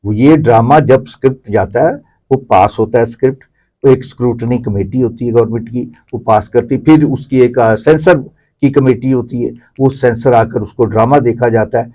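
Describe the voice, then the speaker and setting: Pakistani man explaining, medium-pitched voice. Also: steady tone, mellow. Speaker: interview guest, commentator. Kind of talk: conversational explaining in an interview.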